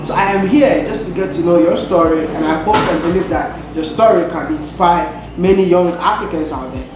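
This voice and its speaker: A man's voice: high voice, a little fast, over music. A young man with a Ghanaian accent, interviewing casually as the host of a video channel. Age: young adult. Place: indoor office lounge with glass walls. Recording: very echoey room, clear background noise.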